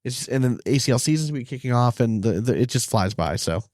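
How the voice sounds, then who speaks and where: A man's voice: medium pitch, expressive, fast, darkish tone, no echo, studio quality. A man in his thirties co-hosting a podcast in casual, rambling banter with some swearing. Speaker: podcast co-host. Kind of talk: casual chat.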